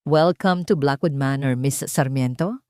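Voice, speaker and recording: A woman acts out a head nurse in her fifties from a dramatic audio story. She is strict and icy, giving orders firmly in a fairly low, dry-recorded voice with no warmth at all. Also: unhurried, smooth, no room sound. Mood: cold, stern. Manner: cold, firm, commanding, no warmth.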